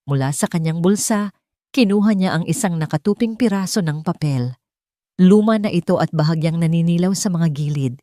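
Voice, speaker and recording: A woman's voice: lowish voice, moderate pace, warm tone, no echo, clean studio sound. A woman with a Filipino accent narrating a scripted, dramatic story.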